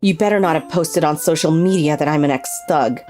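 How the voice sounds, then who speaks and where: A woman's voice: low-pitched, fairly quick, over music, close to an everyday voice. A woman voicing a young female teacher with a sweet front and a thuggish temper in a manga dub. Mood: gruff, mocking. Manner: switches between sugary and menacing.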